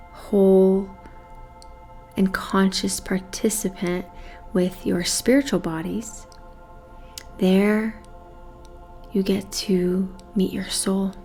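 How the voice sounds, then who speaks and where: Slow, even-toned woman guiding meditation. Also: mid-range voice. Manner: soothing, breathy phrase endings, measured pauses. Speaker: meditation guide. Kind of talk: guided meditation.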